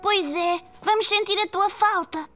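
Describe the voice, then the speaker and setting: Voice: very high voice, fairly even intonation, unhurried, music in the background. A voice actor playing a cheerful, eager four-year-old boy in a cartoon, with a European Portuguese accent. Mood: disappointed. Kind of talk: children's cartoon voice acting, European Portuguese dub.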